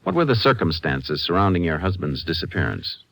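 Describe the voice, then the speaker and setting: An actor playing a calm, methodical ranger in an old-time radio drama, terse and polite as he questions. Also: sits low, fairly quick, creaky, no echo, exaggerated voice. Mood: gently probing. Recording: noisy vintage radio recording.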